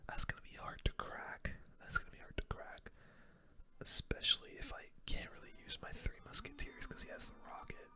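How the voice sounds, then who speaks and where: A person whispering casual, slangy ASMR game commentary in a breathy, unvoiced voice close to the microphone. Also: very high voice. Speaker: gaming video host.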